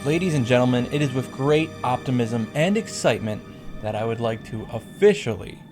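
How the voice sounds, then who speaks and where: A man's voice: mid-range voice, lively intonation, brisk, darkish tone. A man delivering a scripted comedic recap commentary in a wry, profane, mock-grandiose manner. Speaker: visual novel reactor and narrator. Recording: background music under the voice.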